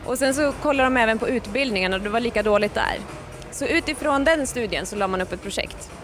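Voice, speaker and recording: Woman, project leader, plain explaining, loud music in the background. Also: a little high, fast talker. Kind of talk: interview.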